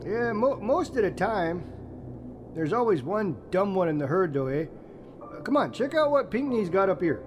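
A man's voice: high voice, big pitch swings, moderate pace, background noise, pitched above the performer's own voice. A man voicing a cautious, earnest Canadian Mountie in an audio drama, explaining himself with hesitant stammers. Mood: matter-of-fact.

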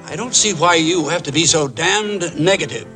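Scornful, impatient TV schemer. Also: male voice, fairly high pitch, expressive, normal speed, pushed and bright, faint music behind. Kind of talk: 1960s film musical acting.

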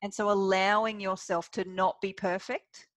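A woman hosting a casual interview chat, talking in an animated, chatty way with many fillers. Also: mid-range voice, moderate pace, no room sound. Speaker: podcast host.

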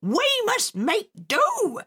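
A woman voicing a bold old pirate mother who talks loudly in a high, whooping voice. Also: wildly swooping pitch, very slow, full character voice. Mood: sharp.

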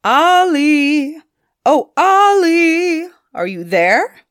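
A woman voicing a sly male troll in a storybook, calling loudly across the water. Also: lowish voice, expressive, takes it slowly, dry recording, studio quality. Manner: loud hallooing calls.

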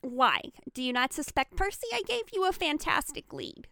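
A woman's voice: sits high, very animated, normal speed, creaky, bright, forceful tone, dry recording, studio quality, exaggerated voice. A woman playing a cagey male bowling instructor who stammers and dodges questions. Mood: flustered. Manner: hesitant, stammering, evasive.

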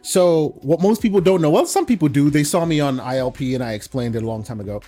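Laid-back storytelling man, higher voice. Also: quick pace, soft background music. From Netherlands. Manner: relaxed storyteller, laughs often, repeats phrases. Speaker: podcast guest. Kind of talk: casual interview chat.

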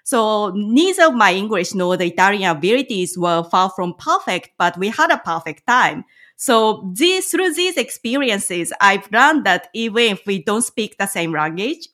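A woman from Japan speaks English as her second language, answering in careful, measured phrases with frequent hesitant pauses. Her voice sits at an ordinary middle pitch for a woman, heard over a remote call microphone. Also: animated, a bit bright. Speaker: guest, licensed tour guide. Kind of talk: podcast interview answers.

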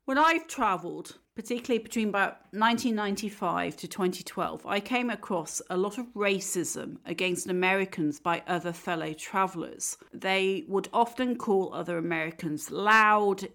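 A woman hosts a podcast and steers the conversation with inquisitive questions. Her voice sits at a middle pitch. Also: expressive, moderate pace, fairly bright tone. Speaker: podcast host. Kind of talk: interview questions.

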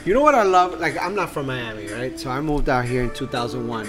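A man's voice: medium pitch, expressive, fast. Upbeat male co-host, music behind. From New York. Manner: upbeat, chiming in with short reactions. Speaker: podcast co-host. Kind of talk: casual podcast chat.

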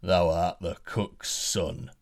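A man voicing a huge, wrathful male giant who speaks slowly in archaic phrases. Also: low-pitched, little pitch movement, voice pushed down. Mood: menacing. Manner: slow, heavy, archaic speech.

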